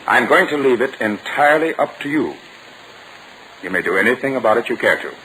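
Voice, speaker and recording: An actor playing a patient, reasonable middle-aged father in a 1940s radio sitcom, measured and firm, on a noisy vintage broadcast. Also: medium pitch, lively intonation, bright, forceful tone.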